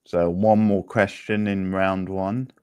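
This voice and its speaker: Deep-voiced man hosting a casual quiz. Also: level tone, takes it slowly, darkish tone, clean tone, no room sound. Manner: chatty, rambling, thinking aloud. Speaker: quiz host.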